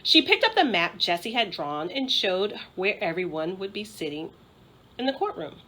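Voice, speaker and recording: A woman narrating a children's storybook aloud in an expressive style, like a school principal reading to pupils. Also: mid-range voice, normal speed, rough recording.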